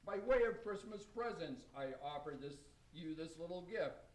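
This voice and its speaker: A man's voice: on the high side, expressive, normal speed, darkish tone, lightly acted. A man playing a jolly, hospitable old Santa Claus with a hearty, rhyming delivery in amateur radio theater. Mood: jolly, generous. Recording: distant, roomy live radio stage recording.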